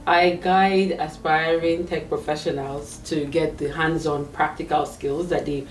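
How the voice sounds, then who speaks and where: A Nigerian woman in her late thirties, a tech career coach, telling her story in a lively interview with fillers and restarts. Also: low voice, unhurried, distant mic. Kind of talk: conversational interview. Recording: echoey room, away from the mic.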